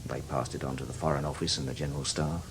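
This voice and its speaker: An actor playing a seasoned, cynical older British spy in a radio drama, talking in a wry, knowing, emphatic way. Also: male voice, very deep voice, steady tone, fast, noisy background. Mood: scornful, explanatory. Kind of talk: radio drama acting, conversational.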